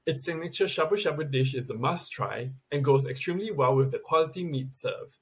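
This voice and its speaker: A man's voice: fairly high pitch, fairly quick, fairly bright tone, husky. A man reading a scripted countdown list as a video presenter, recorded on a webcam in a plain indoor room.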